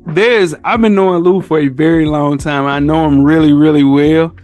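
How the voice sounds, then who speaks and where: A man's voice: fairly high pitch, steady tone, normal speed, a little mellow, soft background music. An American man chatting casually as a podcast guest, in slangy talk full of "man", "bro" and "you know". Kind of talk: casual chat.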